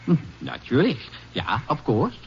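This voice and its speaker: Indignant German farmer, halting broken English, very animated intonation, 1950s radio drama, noisy old recording. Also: male voice, medium pitch, vocal fry, muffled recording. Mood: wary, obliging. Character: indignant German immigrant farmer, flustered and defensive.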